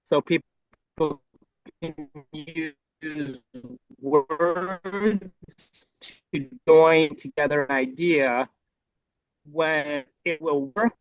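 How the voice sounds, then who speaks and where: Guy talking real slow and thoughtful, lots of ums, kinda muffled call audio, dry. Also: on the high side, steady tone, dry recording. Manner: measured and reflective, many um pauses. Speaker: discussion participant. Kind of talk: group discussion contribution.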